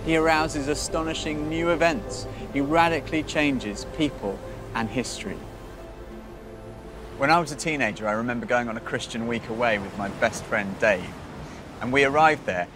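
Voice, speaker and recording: Casting: British male presenter, thirties, earnest faith storytelling, measured phrases and pauses, music underneath. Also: mid-range voice. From Britain. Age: 30s.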